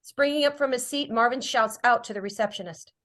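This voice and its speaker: A woman's voice: a little high, brisk, pushed and bright. A woman reading stage directions as scripted narration at a drama table read.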